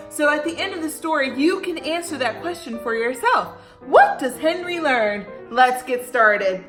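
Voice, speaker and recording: A woman hosting a story time for young children, reading aloud with dramatic emphasis, drawn-out words and questions to her listeners. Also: sits high, bright, forceful tone, roomy sound.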